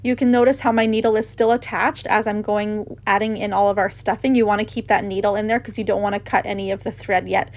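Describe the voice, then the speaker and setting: A woman hosting a craft tutorial, narrating casually with gushing enthusiasm. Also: fairly high pitch.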